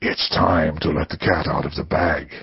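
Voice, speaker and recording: A man doing a breathy, menacing phone villain. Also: fairly even intonation, dry recording, highs cut off, full character voice. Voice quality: low, breathy, drawn-out syllables. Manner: condescending, slow and whispery. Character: menacing male caller, low breathy taunting villain.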